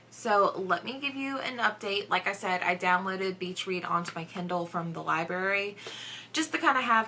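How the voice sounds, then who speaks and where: A woman's voice: fairly low pitch, bright, forceful tone, slight background noise. A young adult woman chatting informally in a casual reading vlog, recorded indoors at home. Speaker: book vlogger.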